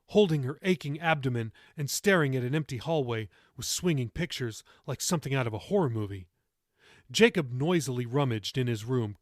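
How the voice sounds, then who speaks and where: A man's voice: mid-range voice, no room sound, studio quality. A man reading his own novel aloud as audiobook narration, with dramatic storytelling delivery.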